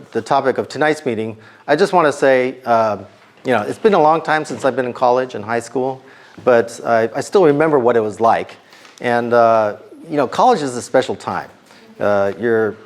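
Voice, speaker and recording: Middle-aged male speaker, a little hoarse, preaching to students in a relaxed, talky way. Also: medium pitch. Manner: conversational, many ums and restarts. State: slightly hoarse. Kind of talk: informal sermon to students.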